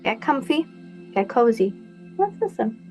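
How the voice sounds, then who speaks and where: A woman host giving a bright, welcoming scripted introduction to a reading show. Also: fairly high pitch, sing-song, a little slow, rough recording. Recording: music bed behind the voice.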